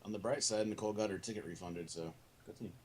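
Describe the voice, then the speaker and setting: A man's voice: lowish voice, fast, darkish tone, slight background noise. A man speaking bluntly, with plenty of swearing, in an argumentative casual group conversation. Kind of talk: heated group voice call argument.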